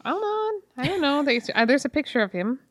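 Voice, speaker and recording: A woman's voice: high voice, big pitch swings, a little fast, no echo, studio quality. An American woman co-hosting a podcast, giving her opinions on books in informal, mildly sweary chat. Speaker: podcast co-host. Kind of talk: casual book club chat.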